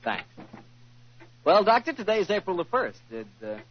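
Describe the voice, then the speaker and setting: Animated male old-time radio announcer. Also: high-pitched, moderate pace, a little hiss or hum. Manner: polished salesman-like delivery. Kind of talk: 1940s scripted radio announcing and sponsor pitch. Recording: dull 1940s radio recording.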